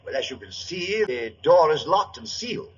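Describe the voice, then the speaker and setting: A dutiful ship's purser in a vintage radio play. His voice is lightly high and husky, formal and respectful. The recording is dull and muffled. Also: sing-song, normal speed, full character voice. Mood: polite, dutiful. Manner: deferential, formal. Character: polite, earnest, dutiful male ship's purser. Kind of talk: old-time radio drama acting.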